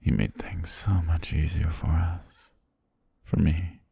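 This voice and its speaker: A man playing a calm, possessive governor soothing his pet in an ASMR roleplay, breathy and half-whispered, slow, gentle and softly commanding, very close to the mic. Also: very deep voice, no echo, heavily acted. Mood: reassuring, possessive. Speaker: ASMR voice actor. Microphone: very close, dry microphone.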